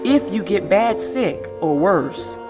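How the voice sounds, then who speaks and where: A woman's voice: mid-range voice, lively intonation, slow pace, music in the background. An actress playing an elderly, devout mother, tender and worried, speaking solemnly and coaxingly in an audio drama.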